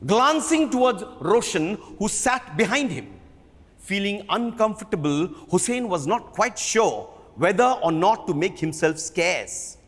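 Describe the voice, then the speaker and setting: High-voiced Indian man narrating dramatically. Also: fairly bright tone. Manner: theatrical, deliberate phrasing. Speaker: theatre performer reading from a book. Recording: reverberant hall.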